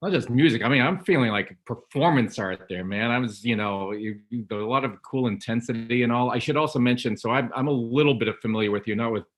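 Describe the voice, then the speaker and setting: A man's voice: mid-range voice, fast, few pauses. A man hosting a casual video call interview, rambling with many stumbling restarts. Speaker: host.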